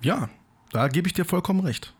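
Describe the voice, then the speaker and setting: Lively, higher-voiced man sharing trivia. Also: fairly quick, husky. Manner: chatty, reads out trivia with playful teasing. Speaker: podcast co-host. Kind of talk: casual chat.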